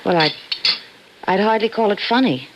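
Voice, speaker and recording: Reassuring young wife female actor, animated and coaxing, vintage radio play, dull sound with faint hiss. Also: mid-range voice, normal speed, lightly acted. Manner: coaxing, hesitant repetitions. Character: fond, reassuring young wife, gently dismissive of her husband's fears. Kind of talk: old-time radio drama acting.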